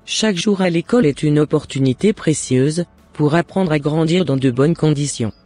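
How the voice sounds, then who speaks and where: Man playing a devout mother, mellow and earnest. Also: fairly high pitch, moderate pace, faint music behind, lightly acted. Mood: gently advising. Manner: earnest, encouraging, formal exhortation. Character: devout, loving mother advising her son. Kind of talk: scripted cartoon dialogue.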